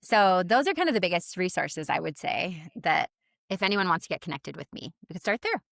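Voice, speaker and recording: Woman, 30s, lower register. Relaxed dietitian guest, chatty and candid, close dry podcast mic. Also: fairly quick, vocal fry, no room sound. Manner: chatty, fillers like "like" and "um", laughs easily. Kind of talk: casual podcast conversation.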